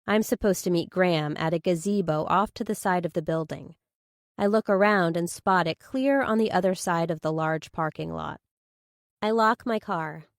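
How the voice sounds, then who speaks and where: A woman's voice: lowish voice, normal speed, no echo, clean studio sound. A woman reading first-person fiction as an audiobook narrator.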